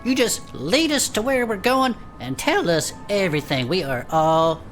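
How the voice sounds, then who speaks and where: A woman's voice: medium pitch, moderate pace, faint music behind, natural voice. A woman playing an eager, folksy former adventurer who conducts an interview in a fantasy audio drama. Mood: excited, welcoming. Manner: folksy, colloquial, excitable.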